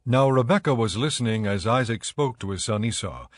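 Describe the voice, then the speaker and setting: A male narrator reads scripture formally and at a measured pace. His voice is mid-pitched and recorded very dry. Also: lively intonation, no echo.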